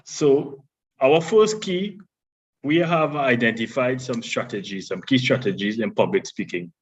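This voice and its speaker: A deep-voiced man presents a public speaking workshop. He explains each point in a measured way and sometimes restarts a phrase. The sound is dull and lo-fi. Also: lively intonation. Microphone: online session audio.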